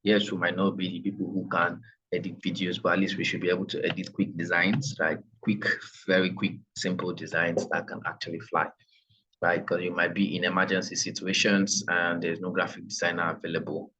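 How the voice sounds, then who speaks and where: A man with a fairly low voice teaches an online class. He talks in a loose, chatty way, full of ums and restarts. He is heard through a computer mic on a video call. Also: brisk, breathy edge, lo-fi sound. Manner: relaxed, chatty, many fillers and restarts.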